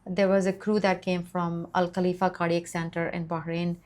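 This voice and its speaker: A woman's voice: fairly low pitch, flat delivery, moderate pace, a little mellow. A middle-aged woman from Nepal, a nurse practitioner telling her life story in an interview, earnest and grateful.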